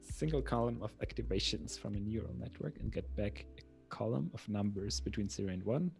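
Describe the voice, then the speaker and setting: A man's voice: on the low side, a little fast, gravelly, a little mellow, faint music behind. A man with an Austrian accent, a native German speaker, chatting casually and reading aloud as co-host of a study livestream. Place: small room indoors. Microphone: microphone on a boom arm close to the mouth.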